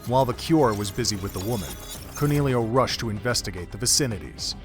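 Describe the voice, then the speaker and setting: A man narrating a fan audio drama in a steady, measured storytelling manner. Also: fairly low pitch, music in the background.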